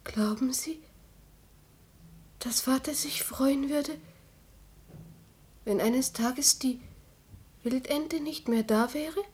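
High-voiced, tender teenage girl. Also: slow pace, long pauses, a little mellow, breathy edge, full character voice. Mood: sad, earnest. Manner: childlike, earnest, emotional. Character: tender, anxious teenage daughter, innocent and devoted. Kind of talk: radio play acting.

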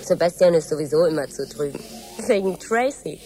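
Headstrong young daughter, lively pitch. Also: female voice, a little fast, slight background noise. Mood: hesitant, pleading. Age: young adult. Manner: hesitant, petulant, pleading. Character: headstrong, impatient grown-up daughter of fussy parents. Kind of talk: German radio drama acting.

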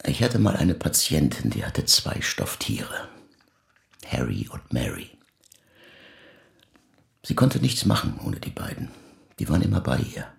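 A man playing a calm, patient psychoanalyst in a naturalistic radio drama, measured and probing. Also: very deep voice, gravelly, exaggerated voice.

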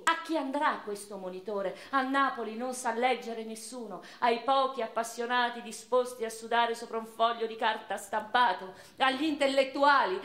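An actress playing a cultured, impassioned woman revolutionary and newspaper writer in a theatrical monologue, declamatory and literary, with deliberate pauses and emphatic swells. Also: fairly high pitch, fairly bright tone, natural voice. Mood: reflective, questioning. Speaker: stage and radio actress. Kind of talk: dramatic first-person theatrical monologue. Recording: roomy, reverberant theatrical recording.

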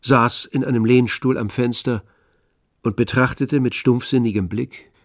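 A man serves as narrator of a literary radio drama. He speaks at a middle pitch, in a measured and grave manner. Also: steady tone, no echo, clean studio sound.

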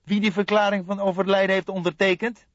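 Man putting on an excitable high-voiced young detective. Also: fairly quick, dry recording, lo-fi sound. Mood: agitated, insistent. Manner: excitable, emphatic. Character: agitated, eager young male police detective.

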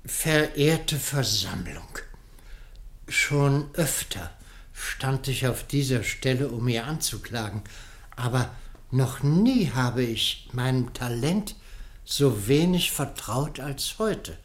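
A man playing a pompous public prosecutor in a radio play, pleading in court with declamatory speech and rhetorical pauses. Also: medium pitch, animated. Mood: solemn, grave.

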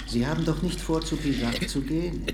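An actor playing an outwardly courteous but quietly menacing hatter in a radio play, speaking in a measured way. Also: male voice, on the high side, close to an everyday voice. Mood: softly menacing.

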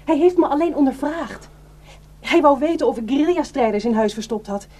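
An actress in a radio drama playing a fearful village wife who is anxious, imploring and insistent. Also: female voice, high voice, a little fast, mellow, close to an everyday voice. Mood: pleading, insistent.

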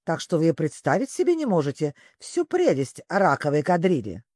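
A storyteller voicing a wistful, excitable sea turtle creature who talks in a plaintive, sobbing manner. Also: normal speed, no room sound, clean studio sound. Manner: sobbing, plaintive, breaking into squeaks and yells.

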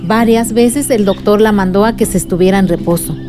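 An actress playing a caring aunt in a radio drama, patiently telling family history in a reassuring way. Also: female voice, medium pitch, brisk, over music, close to an everyday voice.

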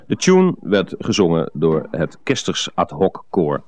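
Announcer, male: low register, reading programme credits, slight noise behind him. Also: wildly swooping pitch, unhurried, darkish tone. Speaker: radio announcer.

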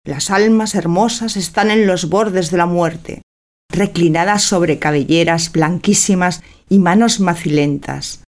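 Lovestruck, sensual young wife. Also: female voice, on the low side, measured pace, a little mellow. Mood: sensual, rapturous. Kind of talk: theatrical radio drama acting.

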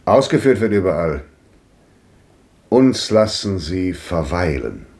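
An actor playing a grandly bitter old man in a 1950s radio drama, declaiming with sweeping swings between loud and hushed. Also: deep voice, very animated, slow, exaggerated voice. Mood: brooding. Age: older.

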